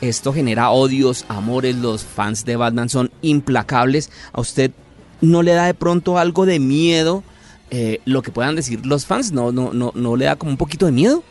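A man with a mid-pitched voice hosts a podcast interview. He is chatty and addresses his guest with polite formality, over a bed of music. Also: moderate pace, a little mellow.

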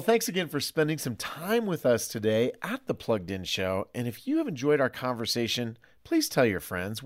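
Male podcast host, lower-pitched, conversational delivery, close dry sound. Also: no echo.

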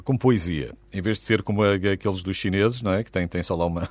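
A man's voice: deep voice, fast, a little mellow, no echo. A Portuguese man with a European Portuguese accent, hosting an interview with playful questions and frequent laughter. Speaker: radio host.

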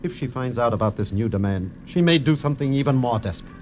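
A man's voice: mid-range voice, expressive, quick pace, a little mellow, close to an everyday voice. An actor playing an anxious, protective wealthy man in 1940s radio drama, earnest and urgent. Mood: pained, protective. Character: anxious wealthy protective husband, male blackmail victim in wheelchair. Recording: muffled old radio recording, faint noise.

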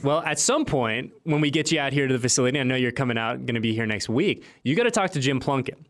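A man's voice: medium pitch, fast talker. A young American man hosting a sports podcast, chatting casually in informal, slangy language. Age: late twenties to thirties. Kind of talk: casual interview chat.

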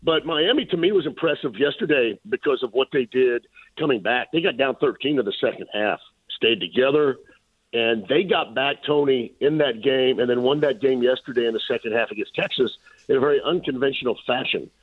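Hoarse man over a tinny phone line. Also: medium pitch, brisk, dry recording. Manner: emphatic, repeats key points. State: losing his voice, slightly hoarse. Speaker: sports radio analyst. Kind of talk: phone-in sports analysis.

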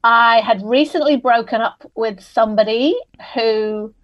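Candid Mancunian woman, higher voice. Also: unhurried, dull audio. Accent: Mancunian English. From England. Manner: candid, reflective, laughs easily. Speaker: guest. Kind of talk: conversational interview.

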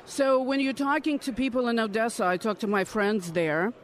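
A woman in her fifties from Ukraine, giving earnest, measured interview answers at a political conference. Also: on the high side, steady tone, low-quality recording. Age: 50s. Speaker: congressional candidate.

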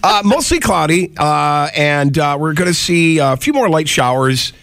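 A middle-aged man hosting morning radio, chatty and quick to laugh, talking through a head cold. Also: a little high, expressive, creaky, a bit bright, no room sound, clean studio sound, soft background music. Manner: chatty, laughs easily, rambling restarts. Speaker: radio morning show host. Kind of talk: casual morning radio chat.

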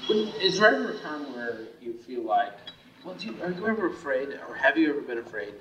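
A man's voice: medium pitch, sing-song, fairly quick, a bit bright. Distant American male interviewer, echoey and hesitant. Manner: hesitant, rephrases questions as he goes. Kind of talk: conversational interview questions. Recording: distant, echoey, noisy archival interview tape.

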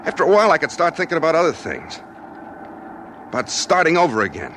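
Casting: jittery, evasive drifter-trucker for 1940s radio noir. Higher-than-average male voice, very animated, slightly noisy vintage recording. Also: quick pace. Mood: brooding narration. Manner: hesitant, evasive, stammering when questioned. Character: desperate, nervous drifter posing as a truck driver. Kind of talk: 1940s radio drama acting, first-person noir narration.

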